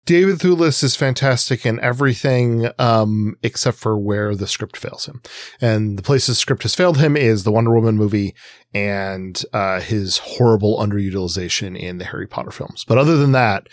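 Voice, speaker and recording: A man's voice: on the low side, no room sound, studio quality. A man co-hosting a podcast, chatting casually and giving opinionated critique in an informal way. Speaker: podcast co-host.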